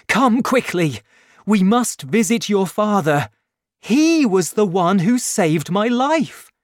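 An earnest, passionate young man from a storybook reading, acted by a male narrator. The voice is pushed noticeably higher and louder than the reader's own, urgent and emphatic, with lively swings in pitch. Close, dry studio sound. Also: drawn-out words, no echo, exaggerated voice.

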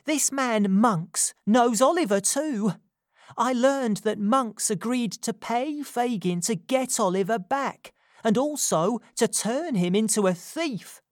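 A woman's voice: a little high, expressive, takes it slowly, no echo, clean studio sound, pitched above the performer's own voice. Woman voicing a frightened young woman, urgent. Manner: urgent, pressing, tense.

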